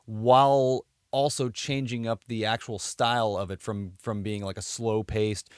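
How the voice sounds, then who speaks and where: A man's voice: on the low side, fairly even intonation, measured pace, clean tone, no room sound, studio quality. A man co-hosting a podcast, talking casually about movies with loose, conversational phrasing. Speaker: podcast co-host.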